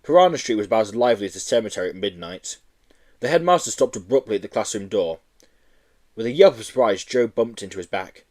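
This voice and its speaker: Lively male storybook narrator. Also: fairly low pitch, fast. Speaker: audiobook reader. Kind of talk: expressive children's story narration.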